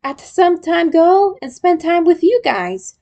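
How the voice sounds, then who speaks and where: A kind young friend with a secret crush acted by a woman talks in a very high voice. The character is shy and gentle, speaking dialogue from a romantic story. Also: big pitch swings, takes it slowly, heavily acted. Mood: friendly, reassuring.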